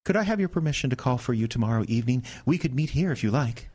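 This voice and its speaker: An actor playing a suave, courtly charmer in a radio comedy mystery, with flowery, formal, romantic flattery. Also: male voice, medium pitch, fast talker, lightly acted. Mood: seductive, wistful. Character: suave, courtly older male charmer, theatrically romantic con man.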